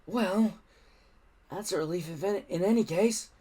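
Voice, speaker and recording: Man acting a high, whiny, anxious Viking boy. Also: normal speed, warm tone, breathy edge. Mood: nervous.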